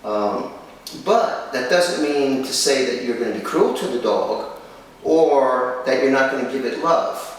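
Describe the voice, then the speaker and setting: Male host, medium pitch, firm instructive delivery in short phrases, recorded very echoey and distant from the mic. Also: animated, a little slow. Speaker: kennel owner and host. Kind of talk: presenting and interviewing for a dog training video. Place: room in a home. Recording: echoey room, distant mic, faint noise.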